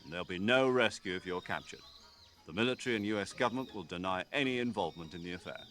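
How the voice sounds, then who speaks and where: Measured, authoritative military commander. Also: male voice, sits low, expressive, a bit bright. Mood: stern, instructive. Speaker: mission commander. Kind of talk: scripted video game radio briefing.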